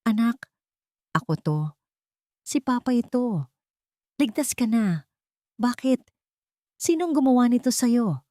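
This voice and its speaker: A woman's voice: on the high side, animated, takes it slowly, pauses often, mellow, no echo, clean studio sound, voice pushed up. A woman voicing an anguished, panicked father in an audio drama, pleading and urgent. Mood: tender, distraught. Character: anguished, panicked father finding his hurt child.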